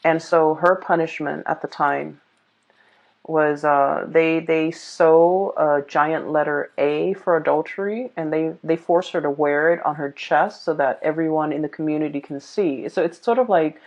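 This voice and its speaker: A woman giving a casual off-the-cuff tarot reading, explaining things in a halting, hesitant way. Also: sits low, fairly even intonation, a little mellow. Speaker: tarot reader. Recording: dull, muffled lo-fi sound.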